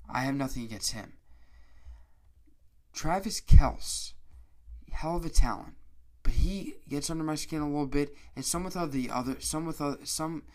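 A man hosting a casual solo sports podcast, stuffy-nosed and hoarse from a cold, rambling and restarting his sentences. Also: a little high, moderate pace, pauses often, darkish tone. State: congested, stuffy nose; hoarse, raspy throat.

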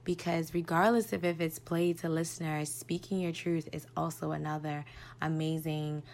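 A woman's voice: low voice, flat delivery, normal speed. A woman of thirty from Baltimore, sending a reflective, earnest voice note to a podcast. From Baltimore, Maryland. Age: 30s. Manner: reflective, earnest, soft at times. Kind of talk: personal voice memo.

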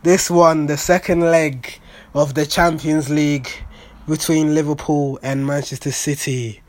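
A young man whose voice is raspy and nearly gone from shouting, pitched a little higher than most men. He talks fast and blunt in a casual fan reaction, and the sound is dry and close. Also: no room sound. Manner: excitable, blunt, swears freely. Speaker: football fan vlogger. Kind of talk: casual post-match reaction monologue.

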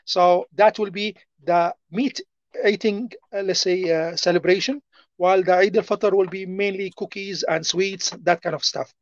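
A man with an Arabic accent answering interview questions in a halting way, full of fillers and restarts. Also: sits high, steady tone, no echo, lo-fi sound. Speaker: interview guest.

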